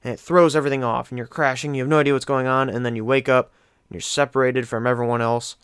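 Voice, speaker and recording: A young man with a clear, smooth voice at medium pitch. He gives enthusiastic, casual countdown commentary like a gaming channel host. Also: flat delivery, fast, a bit bright, dry recording, clean studio sound. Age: young adult. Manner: enthusiastic, effusive. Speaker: video game channel host.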